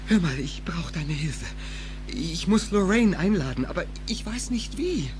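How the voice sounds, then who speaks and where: An actor playing a timid, awkward young man in a dubbed film drama, halting and stammering earnestly. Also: fairly high pitch, sing-song, slight background noise. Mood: nervous. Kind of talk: dubbed film acting in a German audio drama.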